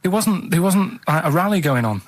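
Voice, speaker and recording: A man's voice: sits high, animated, moderate pace, a little hiss or hum. A man with a Mancunian accent rambling earnestly and matter-of-factly in casual radio chat. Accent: Northern English, Mancunian. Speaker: radio show regular. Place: dry radio studio.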